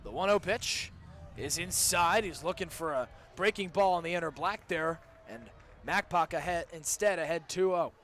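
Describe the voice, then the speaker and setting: A young male broadcaster gives live baseball play-by-play for radio. His voice sits high, and there is faint outdoor ambience behind him in the booth. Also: normal speed, fairly bright tone, slight background noise. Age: young adult. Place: ballpark broadcast booth with open window.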